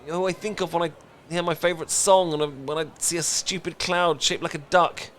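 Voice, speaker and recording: A man's voice: a little high, steady tone, fairly quick, fairly bright tone, natural voice. A man with a British accent playing a flustered, lovestruck best friend in a romantic comedy audio roleplay, stammering and earnest. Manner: stammering, rambling, earnest confession.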